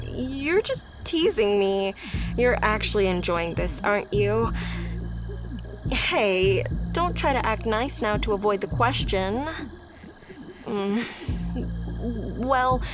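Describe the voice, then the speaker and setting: A woman playing a shy, socially awkward young friend in a romantic ASMR roleplay, speaking softly and hesitantly with stammers, tender and easily flustered. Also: a little high. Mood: flustered, disbelieving. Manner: soft, hesitant, stammering, sighing. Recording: prominent music behind the voice. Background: music.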